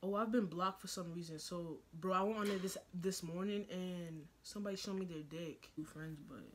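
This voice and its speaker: A young woman hosting a vlog, chatting with strangers in loud, joking slang full of trash talk. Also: on the low side, normal speed, slight background noise. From New York. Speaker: vlogger host. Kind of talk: casual chat, video chatting with strangers.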